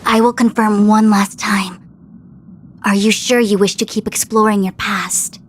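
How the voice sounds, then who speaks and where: A woman's voice: medium pitch, fairly even intonation, unhurried, slight background noise, natural voice. A woman voicing a composed, authoritative female diviner in an anime game, formal and self-assured. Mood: inquiring.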